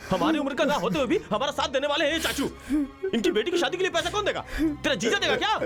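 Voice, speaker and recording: An actor playing a scornful, ruthless gang member, mocking and insistent, in a heated drama. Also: male voice, extremely high pitch, lively intonation, fast talker, fairly bright tone, faint music behind. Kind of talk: heated film-style drama acting.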